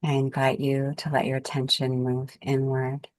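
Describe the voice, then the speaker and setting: A woman leading a guided meditation as its guide, speaking calmly and soothingly in gentle, unhurried phrases with pauses. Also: extremely low pitch, no echo.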